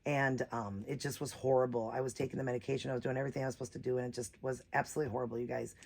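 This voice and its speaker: Raspy-voiced woman vlogging an unboxing. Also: rumbling low, little pitch movement, very fast, darkish tone. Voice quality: naturally raspy voice. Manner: chatty, rambling, many fillers. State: hoarse from a cold. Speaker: reborn doll channel host. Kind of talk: casual unboxing vlog.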